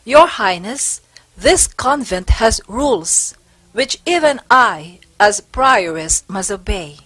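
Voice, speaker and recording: A woman playing a devout, composed and firm Spanish prioress in a historical stage play, measured and courteous. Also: medium pitch, lively intonation, fairly bright tone, breathy edge, heavily acted, low-quality recording.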